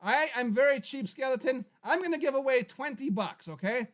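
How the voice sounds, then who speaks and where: A man hosting a live comedy web show, brash and bossy, joking and talking over his cohost, his voice getting hoarse. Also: extremely high pitch, lively intonation, normal speed, fairly bright tone. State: losing his voice. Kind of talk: loud comedic live-stream chat.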